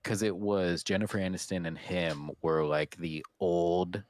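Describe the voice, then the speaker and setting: A man's voice: deep voice, little pitch movement, measured pace. A man co-hosting a podcast in casual, unscripted chat about what he watched, rambling and trailing off as he goes. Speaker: podcast co-host.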